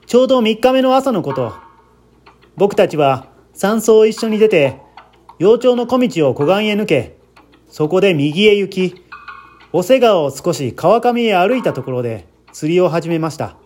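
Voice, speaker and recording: A man's voice: high voice, big pitch swings, moderate pace, darkish tone. A man reading the part of an earnest, anxious man who politely recounts a friend's death in a dramatic radio-story style. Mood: earnest, explaining.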